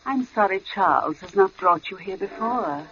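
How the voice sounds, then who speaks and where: A woman's voice: mid-range voice, expressive, normal speed, natural voice. A woman acting strange, formal housewife on muffled old radio. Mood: eerily courteous. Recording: dull old radio broadcast, crackly background.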